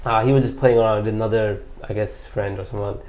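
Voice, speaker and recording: A man's voice: fairly low pitch, steady tone, quick pace, a little mellow. A man in his late twenties from Bangladesh telling his story as an interview guest, rambling with lots of fillers and restarts. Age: late 20s. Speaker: interview guest, squash coach.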